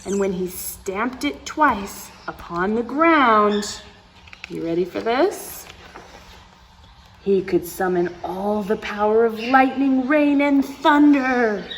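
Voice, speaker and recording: A woman's voice: medium pitch, takes it slowly. A woman reading a storybook aloud to young children as a story time host, expressively, with exclamations and asides to her listeners. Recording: clear background noise.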